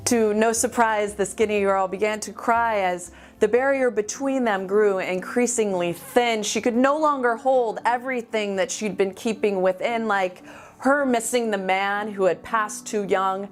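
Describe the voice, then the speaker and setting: A woman performing spoken-word slam poetry with deliberate, dramatic phrasing and pauses. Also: medium pitch. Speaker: amateur slam poet. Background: music.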